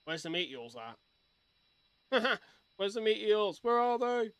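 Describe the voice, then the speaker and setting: A man's voice: high voice, big pitch swings, slow pace, fairly bright tone, clear, resonant tone, no room sound. A man giving a casual live-stream reaction, reading things aloud and commenting as he goes. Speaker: streamer reacting to horror videos.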